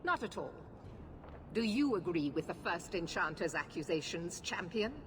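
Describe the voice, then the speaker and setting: A woman plays a female military commander from a fantasy game who does not bend. Her voice sits fairly low, formal and severe, giving orders as if they are final. There is noticeable noise behind her. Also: expressive, moderate pace, lightly acted. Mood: firm, defiant. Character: stern, unyielding female knight commander in authority. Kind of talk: dramatic fantasy video game acting.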